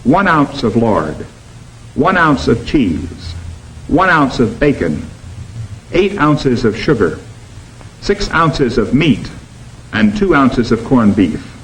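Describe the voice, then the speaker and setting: A man delivers 1950s documentary narration. His pitch rises and falls expressively as he stresses each item in a list, and his delivery is measured and emphatic. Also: sing-song, poor audio.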